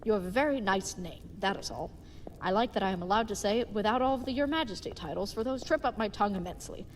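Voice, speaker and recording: A woman's voice: mid-range voice, fast talker, in a large hall, a little hiss or hum, close to an everyday voice. A woman playing an earnest, dim-witted young knight who speaks in formal, chivalrous phrases in an audio drama. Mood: earnest, fond. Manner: formal, stilted, uncontracted, chivalrous speech.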